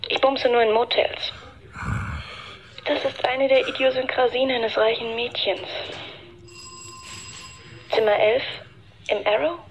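A woman's voice: fairly high pitch, steady tone, slow, pauses often. An actress playing a cool, teasing rich young woman speaking curtly on the telephone in a radio drama. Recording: dull telephone line.

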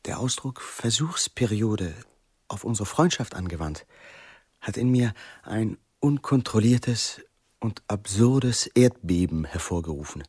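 Deep, husky, sensitive middle-aged man. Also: lively intonation, unhurried, a little mellow, dry recording, clean studio sound. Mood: confiding, melancholy. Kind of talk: radio play acting and monologue.

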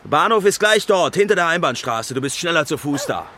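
A man's voice: a little high, very animated, brisk, sharp tone. A man acting a vain, defensive author in a radio drama lovers' quarrel, mocking and sarcastic.

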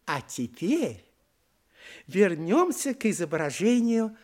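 A pompous, scheming temple priest in an audio play. The delivery is slow and grandiose, with extreme swoops of pitch and a menacing theatrical edge. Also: male voice, very animated, darkish tone, exaggerated voice. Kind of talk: theatrical audio drama acting.

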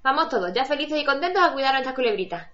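A woman's voice: sits high, animated, rapid-fire, fairly bright tone. A woman playing a motherly, encouraging prefect leader in an amateur radio play, doting and coaxing. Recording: dull lo-fi home recording.

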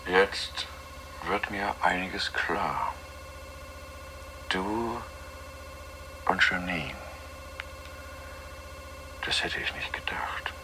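An actor playing a weary, introspective male astronomer in a radio play, speaking in a halting, musing manner. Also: low-pitched, noisy background. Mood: resigned. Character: weary introspective astronomer, captive on moon mission.

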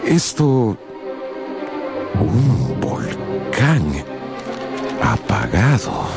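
Slow, gravelly, brooding professor. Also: male voice, medium pitch, wildly swooping pitch, loud music behind the voice, heavily acted. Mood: astonished, intent. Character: obsessive older scientist uncle, gruff, brooding professor. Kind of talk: radio drama acting.

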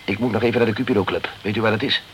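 An actor playing a pushy, urgent male investigator in a radio crime drama, speaking in a brisk, commanding, insistent way. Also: lowish voice, fairly even intonation, a bit bright, natural voice. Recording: noisy old radio play recording.

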